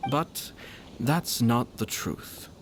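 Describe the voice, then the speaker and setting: An actor playing a shrewd, courteous young nobleman in a video game, with measured, formal phrasing. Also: medium pitch, faint music behind. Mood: serious, measured. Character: composed, courteous young nobleman, shrewd, curious, quietly amused. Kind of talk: video game character acting.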